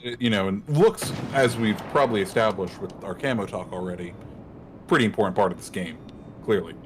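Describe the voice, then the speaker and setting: A man's voice: lowish voice, fairly quick, noisy background. A man hosting a game livestream, commenting in a laid-back, wry way. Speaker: streamer host. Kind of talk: casual gameplay commentary.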